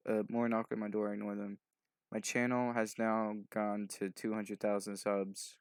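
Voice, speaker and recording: Low, creaky-voiced man reading aloud. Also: flat delivery, moderate pace, dry recording, clean studio sound. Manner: stumbling over words, mumbled skimming; offhand asides. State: sleep-deprived. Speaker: video host doing a fanfic reading. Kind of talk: loose, improvised reading aloud.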